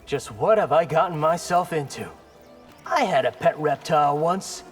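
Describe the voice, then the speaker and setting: A man's voice: a little high, normal speed, faint music behind, natural voice. A man playing a defiant young martial artist hero in a video game, dry and sarcastic. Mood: wry, sarcastic.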